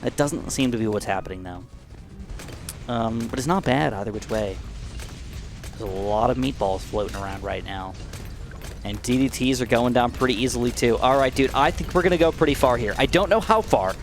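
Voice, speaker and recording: A young man giving casual, excitable gameplay commentary, his voice a bit dry and raspy, swinging from upbeat outbursts to mumbled asides. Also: mid-range voice, moderate pace, faint music behind. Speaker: gaming YouTuber.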